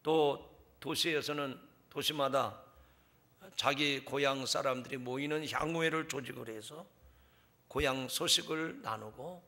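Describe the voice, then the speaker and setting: Bright-voiced Korean pastor preaching. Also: male voice, medium pitch, gravelly. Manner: measured preaching, rising in intensity when telling the scripture story. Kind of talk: sermon.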